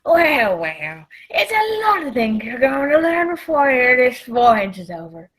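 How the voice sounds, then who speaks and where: A woman's voice: sits high, sing-song, a little slow. A woman playing a folksy old male sea cook in a radio play, drawling. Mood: jovial, coaxing.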